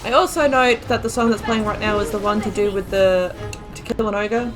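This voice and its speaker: Brief: woman let's-player, casual and talkative, mid-pitched and natural. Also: fairly even intonation, fairly quick, over music. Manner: chatty, reacting out loud. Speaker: gaming YouTuber. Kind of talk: casual let's-play commentary.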